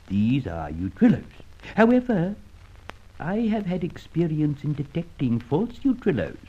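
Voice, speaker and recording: A deferential, fussy connoisseur in a vintage radio play. His highish voice is husky and wanders up and down as he hesitates and stammers. The recording is dull. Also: dark tone, heavily acted. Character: fussy, courteous male art expert, hesitant and deferential. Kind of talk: old radio drama acting. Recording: muffled old radio recording.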